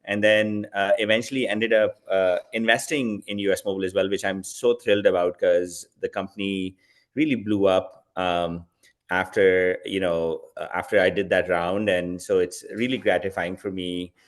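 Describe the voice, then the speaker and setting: A man from Pakistan in his thirties talks as a guest at a fireside chat. His voice sits on the low side, and his delivery is relaxed and reflective, full of fillers as he thinks aloud. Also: moderate pace. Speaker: guest, company founder.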